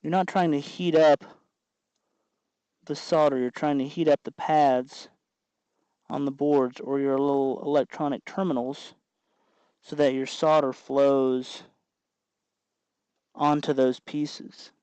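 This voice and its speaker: A man hosting a casual hands-on tutorial, explaining in a halting way as he works. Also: fairly high pitch, steady tone, darkish tone, smooth, no room sound. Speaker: host of a DIY electronics tutorial.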